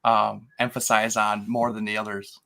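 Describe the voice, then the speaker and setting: Man asking halting interview questions. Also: on the low side, level tone, vocal fry, pushed and bright. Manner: halting, filler-heavy questions. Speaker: interview host.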